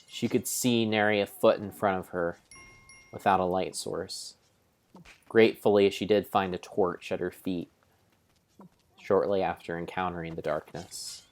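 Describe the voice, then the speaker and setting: Low voiced guy narrating a video game like some grand tragic tale, super solemn and theatrical. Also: steady tone, unhurried. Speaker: streamer narrating his game play. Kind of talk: mock-dramatic storybook narration of a video game.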